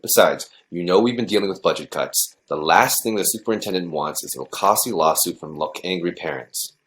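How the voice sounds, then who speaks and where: A man's voice: deep voice, expressive, a little fast, fairly bright tone. An actor playing a cautious, placating male school official in a podcast drama.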